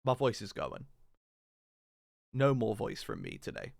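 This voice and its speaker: A man's voice: fairly low pitch, huge pitch swings, measured pace, vocal fry, no room sound, clean studio sound. A young man streaming a game, chatting casually in a rambling way with lots of fillers and restarts. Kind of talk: casual livestream chat while gaming. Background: game music.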